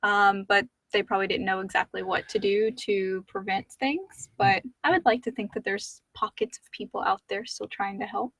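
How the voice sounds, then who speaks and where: A woman's voice: mid-range voice, moderate pace, creaky, few pauses, fairly bright tone, dry recording, low-quality recording. A woman co-hosting a casual question-and-answer show, talking in a conversational way. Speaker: co-host reading listener questions. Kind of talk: casual chat, reading out and discussing questions.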